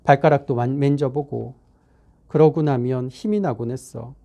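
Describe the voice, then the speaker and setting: A man reading aloud in the voice of a mother who remembers raising her child, with a Korean regional dialect and a soft, reminiscing tone. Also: mid-range voice, moderate pace, lo-fi sound, natural voice. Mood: tender. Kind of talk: dramatic reading of a text.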